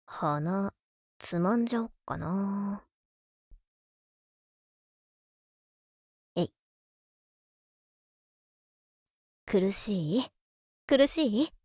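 A woman voicing a clingy, teasing younger brother who dotes on an older sister, speaking softly and intimately in an audio drama. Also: mid-range voice, slow pace, husky, no echo, clean studio sound. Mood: playful, longing. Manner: soft, intimate, coaxing, sometimes dropping to whispers. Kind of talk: intimate Japanese audio drama, murmured monologue to a sleeping listener.

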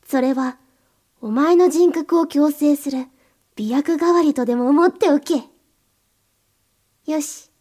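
A woman's voice: high voice, slow pace, pauses often, warm tone. A woman playing a cold, domineering mistress in an erotic audio drama, giving curt, contemptuous orders to the listener. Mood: commanding.

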